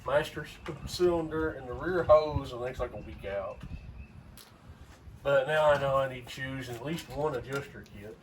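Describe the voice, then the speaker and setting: A man's voice: medium pitch, moderate pace. Distant man in his thirties. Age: 30s. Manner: dry, joking, matter-of-fact. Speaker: car hobbyist. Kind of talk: casual chat while working. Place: outdoor salvage yard. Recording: distant voice, clear background noise.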